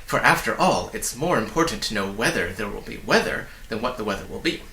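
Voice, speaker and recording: A man voicing an effusive, flustered little man from a storybook, excitable and blustering, repeating himself as he goes. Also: lowish voice, fairly quick, vocal fry, echoey room, voice pushed down, exaggerated voice, low-quality recording. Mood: blustering, cheerful. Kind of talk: storybook character voice.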